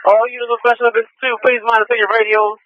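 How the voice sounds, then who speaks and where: A man's voice: very high-pitched, level tone, racing, muffled recording, a little hiss or hum. A man working as a police radio dispatcher, repeating messages back procedurally over a two-way radio scanner feed.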